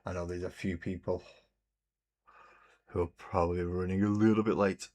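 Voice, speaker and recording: A man hosting a relaxed hobby livestream, chatting in a rambling way and greeting viewers while he paints. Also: sits low, moderate pace. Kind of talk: casual chat while painting. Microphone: desk mic on a webcam stream.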